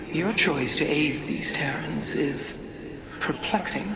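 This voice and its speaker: Haughty, slow-spoken alien elder. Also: female voice, deep voice, creaky, heavily acted. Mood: disdainful. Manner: formal, measured, condescending. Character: haughty, disdainful female alien elder bound by law. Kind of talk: dramatic game cinematic acting. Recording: loud ambient noise behind.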